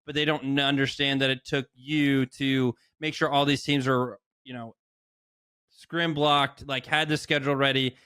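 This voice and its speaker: A man's voice: medium pitch, flat delivery, normal speed, sharp tone, smooth, dry recording, studio quality. A man co-hosting a podcast and interviewing a guest in a casual, chatty style.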